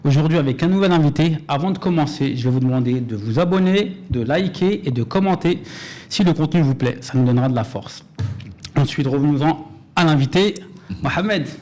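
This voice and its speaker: A man's voice: on the high side, fairly quick, a little mellow. A man hosting a podcast, interviewing conversationally and encouraging his guest with short acknowledgements. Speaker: podcast host.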